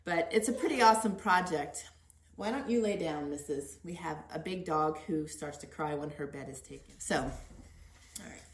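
A woman hosting an informal podcast interview, gently prompting and encouraging. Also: low-pitched, normal speed, slight background noise. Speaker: podcast host. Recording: roomy echo.

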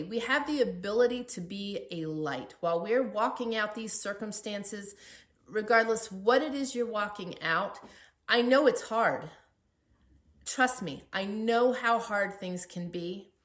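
A woman podcast host shares personal faith encouragement. Her delivery is earnest and emphatic, leaning on repeated words for weight. Her medium-pitched voice rings with noticeable room echo. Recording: roomy, echoey sound.

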